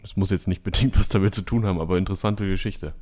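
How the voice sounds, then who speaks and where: A young man hosting a podcast quiz, reading out clues in a calm, measured way. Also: deep voice. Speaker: quiz host.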